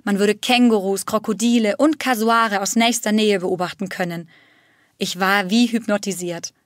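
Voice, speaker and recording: Dry, steady woman narrating a story. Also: medium pitch, moderate pace, no room sound. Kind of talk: scripted first-person story reading.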